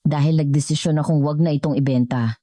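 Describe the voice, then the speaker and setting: A woman's voice: sits low, steady tone, quick pace, dark tone, no echo, clean studio sound. A Filipino-accented woman voicing a wealthy, earnest businessman in love, speaking sincerely and directly in storybook dialogue. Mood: resolute.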